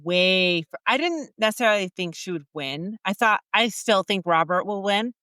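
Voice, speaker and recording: Bright, animated woman podcast co-host. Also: mid-range voice, normal speed, dry recording, studio quality. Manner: animated, indignant, laughs often. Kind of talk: casual chat.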